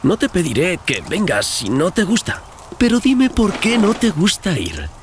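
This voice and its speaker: A man voicing a curious, polite but insistent gentleman traveller in a dramatized audiobook. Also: high voice, wildly swooping pitch, a little slow, noisy background, voice pushed up, heavily acted. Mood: politely insistent. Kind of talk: dramatized audiobook character dialogue.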